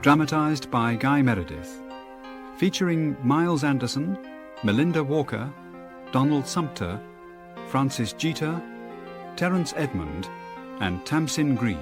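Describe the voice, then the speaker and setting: A man's voice: medium pitch, animated, a little slow, pauses often, music in the background. A man making a formal scripted title announcement for radio.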